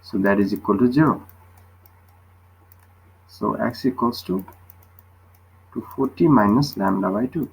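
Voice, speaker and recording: A man's voice: on the low side, measured pace, gravelly, pauses often, slightly rough, low-quality recording. A man tutoring physics, explaining a worked problem step by step and often checking with short questions.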